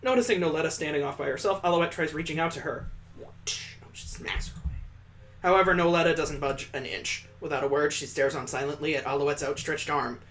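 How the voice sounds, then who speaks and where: Male game streamer, fairly high voice, expressive story reading with wry asides. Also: brisk, slight background noise. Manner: theatrical, breaks off for wry asides. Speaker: video game let's play host. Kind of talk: expressive read-aloud of a visual novel with asides.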